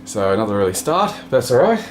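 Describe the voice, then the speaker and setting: A man casually narrating his travel vlog into a handheld camera, relaxed and chatty, moving between buses, a ferry and a small room. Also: fairly low pitch, fast talker, faint music behind. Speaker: vlogger. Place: on the move: bus, ferry, small hotel room. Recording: busy crowd and noise behind.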